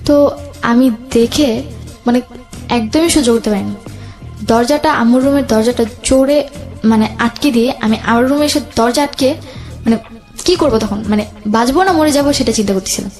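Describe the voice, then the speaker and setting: A young woman recounting her own life as an interview guest, in a halting, hesitant way. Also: on the high side, a little mellow. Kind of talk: personal storytelling in interview. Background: background music.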